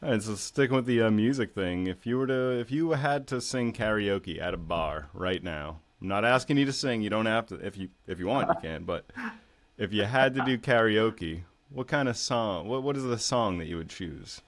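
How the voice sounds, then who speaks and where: Laid-back low-voiced male podcast host. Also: animated, normal speed. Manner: laid-back, joking, with casual swearing. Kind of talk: casual podcast chat.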